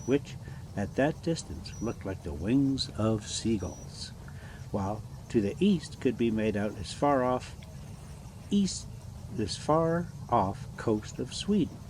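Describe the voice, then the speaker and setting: A man reading a classic adventure novel aloud as an amateur storyteller, in a halting, phrase-by-phrase way. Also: lowish voice. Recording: steady background noise.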